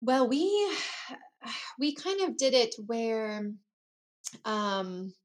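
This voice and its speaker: A woman's voice: fairly high pitch, very animated, very slow. A woman chatting casually as a co-host, talking loosely with lots of fillers and restarts. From United States. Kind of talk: casual conversation.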